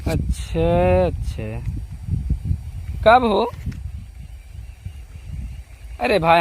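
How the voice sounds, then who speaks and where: A man with an Awadhi accent from eastern Uttar Pradesh rambles and repeats himself in a casual, complaining phone conversation, recorded outdoors in noisy surroundings. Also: sits high. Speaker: comedian doing a phone-call skit.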